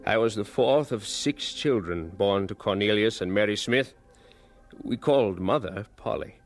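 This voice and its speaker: Low-voiced old storyteller reminiscing. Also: male voice. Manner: theatrical, reminiscing, savouring pauses. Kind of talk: dramatized first-person radio narration. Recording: noisy vintage radio drama recording.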